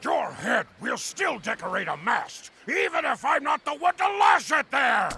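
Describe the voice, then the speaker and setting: Raspy high-voiced warrior, bright and defiant. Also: male voice, very animated, measured pace, exaggerated voice.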